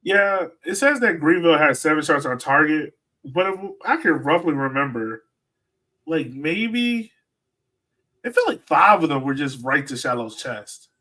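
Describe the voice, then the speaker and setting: A man's voice: fairly high pitch, lively intonation, unhurried, bright, forceful tone. A man hosting a soccer podcast, chatting casually about the game and putting questions to the others. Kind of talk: casual sports discussion.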